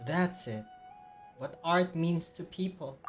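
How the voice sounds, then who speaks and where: A woman's voice: on the low side, slow pace. A woman streamer casually commenting and reading along as she plays a story game. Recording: game music under the voice.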